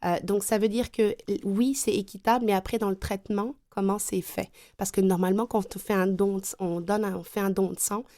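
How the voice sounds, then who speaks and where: A woman's voice: medium pitch, fairly even intonation, fairly quick, hardly any pauses, warm tone. A woman from France with a French accent, explaining her view as a panel guest with frequent hesitations. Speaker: consultant and trainer, panel guest. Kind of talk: round table discussion.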